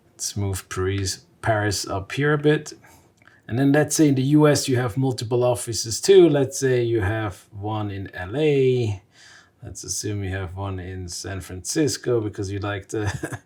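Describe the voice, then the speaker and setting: A man's voice: lowish voice, darkish tone. A man in his thirties from Switzerland presenting a casual tech explainer, conversational and thinking aloud as he sketches. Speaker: vlog host and tech presenter. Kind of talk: casual whiteboard explainer to camera. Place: small home studio indoors.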